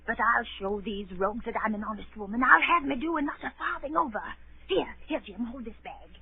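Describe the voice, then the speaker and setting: A woman's voice: a little high, brisk, gravelly. A woman playing a stubborn, fretful poor widow and mother in a 1930s radio drama, querulous and insistent. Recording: tinny, noisy 1930s radio broadcast.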